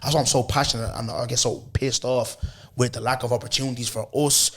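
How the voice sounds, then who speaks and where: A man, hosting a podcast in loose, slangy chat, his voice hoarse. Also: mid-range voice, brisk, slight background noise. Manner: loose, animated storytelling with lots of slang and repeated "bro". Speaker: podcast host. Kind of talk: casual chat.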